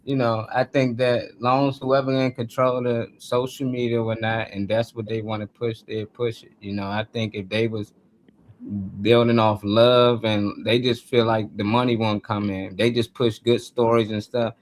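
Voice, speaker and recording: A man's voice: mid-range voice, little pitch movement, normal speed. A man with a mid-range voice hosting a casual live discussion. He talks informally and colloquially, laying out his opinions like someone telling stories to friends. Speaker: talk show host.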